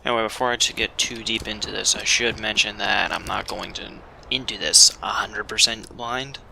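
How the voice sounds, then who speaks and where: A man's voice: on the low side, steady tone, normal speed, creaky, pushed and bright. A man giving casual, rambling commentary as he records a video game let's play. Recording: faint background noise.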